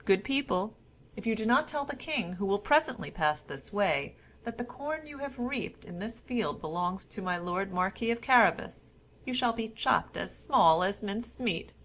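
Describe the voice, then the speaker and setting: A woman voicing a cunning, boastful storybook cat with a formal, flattering, emphatic way of talking. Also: medium pitch, normal speed, poor audio. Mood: threatening.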